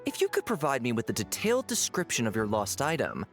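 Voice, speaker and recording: A man's voice: mid-range voice, huge pitch swings, quick pace, faint music behind. A man voicing an effusive, polite guild merchant in a fantasy video game. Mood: courteous.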